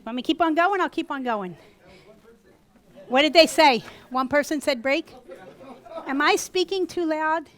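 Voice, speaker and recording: A woman with a US East Coast accent teaching a gourd craft class live to a small group, talking loudly and chattily. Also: sits high, unhurried, long pauses. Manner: loud, chatty, animated. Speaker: gourd art instructor. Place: workshop room with a small audience.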